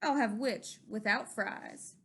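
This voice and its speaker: A woman's voice: medium pitch, slow, a bit bright, slightly rough, full character voice. A woman voicing a mean, greedy male dragon from a children's storybook, relishing each line. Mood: menacing, gloating.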